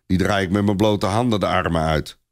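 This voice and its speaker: A man voicing a gruff, boastful army sergeant who snaps and brags in a storybook tale. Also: low voice, brisk.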